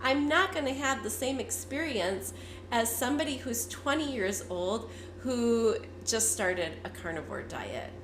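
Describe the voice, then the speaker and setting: Raspy fifty-year-old woman with a cold. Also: a little high, lively intonation, measured pace, roomy sound, noisy background. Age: 50s. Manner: candid, chatty, with frequent fillers. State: hoarse, congested from a cold. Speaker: vlogger. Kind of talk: casual talk to camera.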